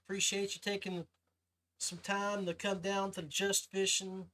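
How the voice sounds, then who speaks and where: A man's voice: high voice, fairly bright tone, low-quality recording. A man hosting a podcast in a casual interview chat, chiming in with agreement and approval. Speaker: podcast host.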